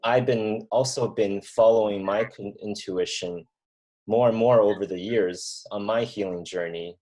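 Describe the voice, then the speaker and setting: A man in his thirties from mainland China hosting a reflective conversation, talking in an earnest, unhurried way and thinking aloud with fillers and restarts. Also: fairly low pitch, warm tone, low-quality recording. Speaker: podcast host.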